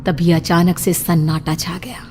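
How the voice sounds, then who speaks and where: A woman's voice: on the low side, little pitch movement, a little slow, a little mellow, a little hiss or hum. A woman delivering scripted narration of a horror story. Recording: clear music under the voice.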